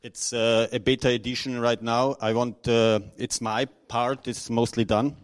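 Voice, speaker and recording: A middle-aged man from Austria, speaking unscripted to an audience, halting and nervous, with many restarts and fillers. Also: medium pitch, little pitch movement. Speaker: conference speaker.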